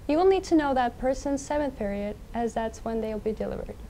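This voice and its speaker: A teenage girl from Turkey reads the school news as a student anchor in a small school TV studio. Her voice sits fairly high, with a faint hiss behind it. She keeps a bright, friendly delivery. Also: expressive, fairly quick. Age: teens. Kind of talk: reading school announcements.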